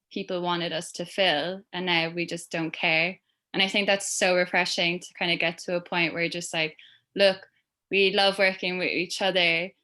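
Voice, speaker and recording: A woman's voice: lowish voice, bright, forceful tone. An Irish woman talking as a creative guest on a video-call panel, chatty and loose, with lots of fillers. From Ireland. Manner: chatty, rambling with many fillers.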